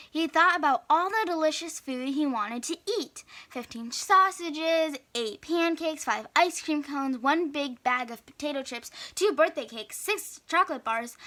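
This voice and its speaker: A woman narrating a children's story aloud in an expressive storytelling manner. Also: sits high.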